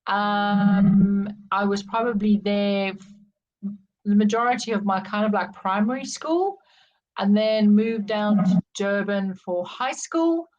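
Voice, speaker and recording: A woman's voice: mid-range voice, slow pace, a little mellow, muffled recording. A middle-aged woman with a South African accent, candidly and reflectively telling her life story as an interview guest. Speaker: podcast guest.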